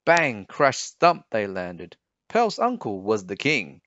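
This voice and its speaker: Male picture-book reader: measured, expressive, very varied pitch, dry and a little dull in sound. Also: slight background noise. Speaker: storybook reader. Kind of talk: children's read-aloud narration. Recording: dull, slightly muffled sound.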